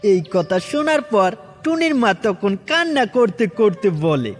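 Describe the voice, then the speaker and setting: A man's voice: sits high, very animated, a little slow. A man narrating a children's cartoon story as its narrator and host. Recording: heavy reverb with music.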